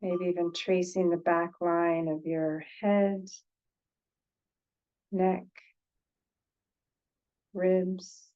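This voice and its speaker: A woman guides a meditation in a lowish, soft-edged, mellow voice. She speaks very slowly and gently, pausing often and repeating phrases as she goes. The sound is a little dull and lo-fi. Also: little pitch movement. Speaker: meditation teacher.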